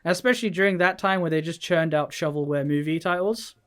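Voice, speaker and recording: A man's voice: a little high, fairly quick, fairly bright tone. A man co-hosting a podcast, explaining things casually with some swearing. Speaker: podcast co-host. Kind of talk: casual podcast chat about games and films.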